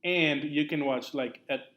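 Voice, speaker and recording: A man's voice: fairly high pitch, measured pace, a bit bright. Young guy w/ a mexican accent cohosting, laid back, lots of uhs and ums. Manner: laid-back, explains at length with many fillers. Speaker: podcast co-host. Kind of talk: casual chat.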